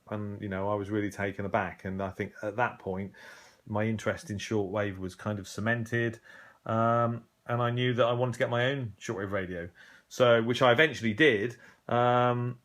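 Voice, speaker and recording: A middle-aged British man with a fairly low voice presents in an informal, unscripted way. He is conversational and reflective, pausing and hesitating as he searches for his words. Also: fairly bright tone. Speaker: hobbyist presenter. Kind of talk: casual unscripted show-and-tell.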